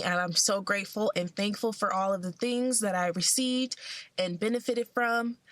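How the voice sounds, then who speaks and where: A woman's voice: medium pitch, sharp tone, no room sound. A young woman who sounds a bit congested, talking in a casual, upbeat and encouraging way to her vlog followers. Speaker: vlogger.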